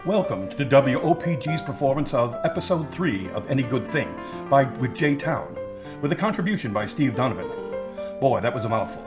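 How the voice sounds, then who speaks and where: A man's voice: medium pitch, expressive, moderate pace, over music. A man reading scripted scene narration for an audio drama as its narrator.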